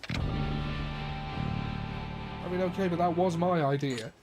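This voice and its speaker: A man's voice: fairly high pitch, slow pace. A man co-hosting a gaming video in casual conversation, with music playing behind him. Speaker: gaming co-host. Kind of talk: casual chat over gameplay. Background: game music.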